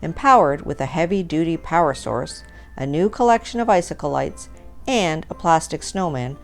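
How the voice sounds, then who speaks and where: A woman's voice: deep voice, expressive, normal speed, vocal fry. A woman reading a humorous story aloud as a storyteller, in scripted narration. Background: music.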